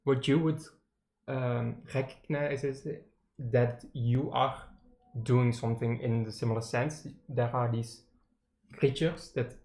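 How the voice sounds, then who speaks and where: A man running a tabletop game as game master, explaining in halting stretches with restarts. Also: medium pitch, steady tone, a little mellow. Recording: echoey room.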